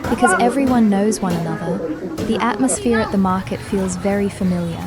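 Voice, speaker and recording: A young woman from Vietnam, narrating a travel vlog about her home village in a calm, measured, explanatory voice-over. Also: medium pitch, over a noisy crowd. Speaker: vlogger. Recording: voice-over with clear background music. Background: background music.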